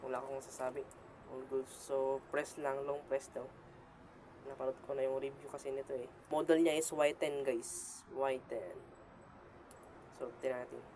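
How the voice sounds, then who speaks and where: A Filipino man talks through a product in a casual unboxing style. His mid-pitched voice moves little in melody and comes haltingly, mixed with English phrases. The amateur recording carries clear background noise. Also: flat delivery, a little mellow, rough recording. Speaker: vlogger and product reviewer.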